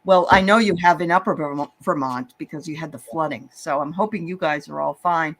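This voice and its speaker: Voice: medium pitch, fairly quick, fairly bright tone, rough recording. Chatty, encouraging psychic show host. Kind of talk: casual psychic reading chat.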